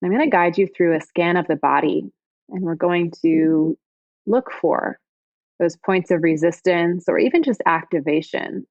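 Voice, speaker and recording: A woman yoga teacher leads a guided body scan meditation in a soothing, gentle way, with unhurried phrases and pauses. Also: on the low side, no room sound, dull audio.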